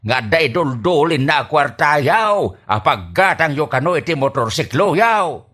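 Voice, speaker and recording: A man's voice: fairly high pitch, sing-song, a little slow, fairly bright tone, heavily acted. A man playing a scolding, exasperated older father with an Ilocano Filipino accent in a comedy radio drama, grumbling and drawing out his exclamations.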